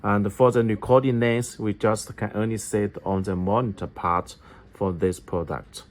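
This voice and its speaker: Male demonstrator, lowish pitch, halting English, step-by-step tutorial delivery. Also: steady tone. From China. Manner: halting non-native English with repeated phrases. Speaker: product demonstrator for an electronics company.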